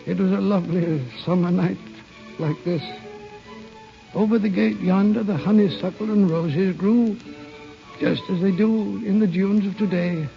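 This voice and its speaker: An older man acting a stern, grieving elderly uncle in a vintage radio drama, with measured, formal, dramatic delivery. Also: high voice, fairly even intonation, gravelly. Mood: wistful reminiscence. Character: stern, grieving elderly guardian uncle, wistful about the past. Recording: muffled old radio broadcast, music behind.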